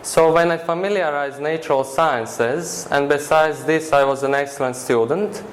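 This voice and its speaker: A teenage boy from Croatia with a Croatian accent, a native Croatian speaker answering interview questions in an earnest, measured way with halting phrasing. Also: a little high, a little hiss or hum, low-quality recording. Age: teens. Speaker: interview guest.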